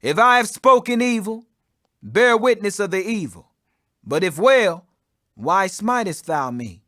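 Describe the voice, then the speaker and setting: Guy doing a resolute bible teacher facing accusers, high bright voice, firm n declamatory. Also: animated, unhurried, dry recording, studio quality, exaggerated voice. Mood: firm, commanding.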